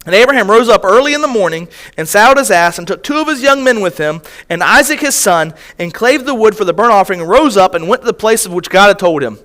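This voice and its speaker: A man preaching from a church pulpit, his voice sitting high for a man. He tells a personal story like a conversation, circling back and restarting his phrases as he goes. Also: expressive, quick pace, few pauses, bright, forceful tone. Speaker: missionary preacher. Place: church sanctuary.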